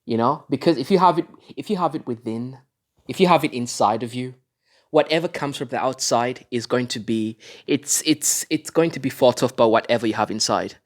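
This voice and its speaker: A man's voice: medium pitch, a little fast. A man from Africa giving reaction commentary on a video, lecturing his viewers with frequent restarts and fillers.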